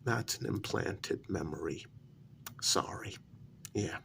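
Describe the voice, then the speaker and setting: A man playing a condescending, unhinged asylum patient in a soft-spoken ASMR role-play, halting and matter-of-fact, often slipping toward a half-whisper. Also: low-pitched, vocal fry, exaggerated voice. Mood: smug, matter-of-fact. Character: condescending, unhinged male asylum patient who believes he created everyone.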